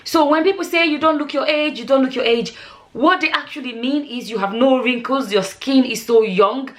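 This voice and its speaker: A woman's voice: high voice, normal speed. A woman, talking straight to camera in a casual vlog, earnest and repeating herself for emphasis. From Nigeria. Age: 40s. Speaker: vlogger.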